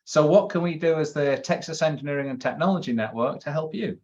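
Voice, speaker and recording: A man's voice: a little high, expressive, quick pace, darkish tone. A man interviewing a new member for a professional network, friendly and hesitant, with many fillers and restarts. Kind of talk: interview conversation. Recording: dull lo-fi video-call sound.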